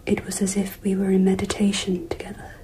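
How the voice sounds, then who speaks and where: An actress playing a dignified, reflective empress in a radio drama, telling her memories in a measured, hushed, breathy voice. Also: medium pitch, completely flat delivery, slight background noise, lightly acted. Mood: reflective, composed. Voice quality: very breathy, often near whisper. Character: dignified, reflective empress recalling mysterious events.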